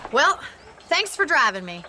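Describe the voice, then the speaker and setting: A woman's voice: high voice, very animated, measured pace, noisy background. A woman playing a plain-spoken, teasing, capable ranch woman in a western game, with a rural American accent and a polite, wry manner. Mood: friendly, wry. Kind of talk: video game cutscene acting.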